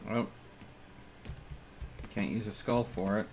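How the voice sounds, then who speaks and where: A man's voice: on the low side, fairly even intonation, slow, a little mellow. A man giving casual live gameplay commentary, talking to himself in short muttered remarks as he plays. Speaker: streamer. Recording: clear background noise.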